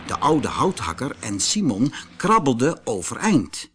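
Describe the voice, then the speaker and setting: A man narrating a scripted children's fairy tale in an expressive, dramatic way. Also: mid-range voice, measured pace, noisy background. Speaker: storyteller.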